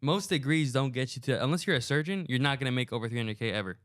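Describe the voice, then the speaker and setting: A young man hosts a laid-back podcast with a smooth, clean voice. He talks into a headset mic in a studio, loose and slangy, with plenty of fillers. The sound is dry and close. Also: mid-range voice, quick pace, fairly bright tone, no echo. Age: young adult. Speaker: podcast host. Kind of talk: casual podcast chat. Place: booked recording studio.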